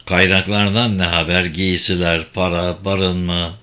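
A man's voice: low-pitched, little pitch movement, a little slow, sharp tone, voice pushed down. A man voicing a sardonic, distrustful young thief in an audiobook, with a sceptical, cutting delivery. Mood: distrustful, defiant.

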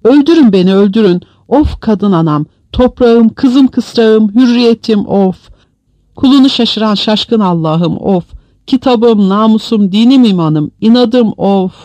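A man's voice: high-pitched, lively intonation, a little slow, no room sound, voice pushed up. A man voicing an anguished, crazed male prisoner who wails and cries out desperately in a dramatized audiobook. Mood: desperate wailing. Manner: loud, wailing, desperate cries.

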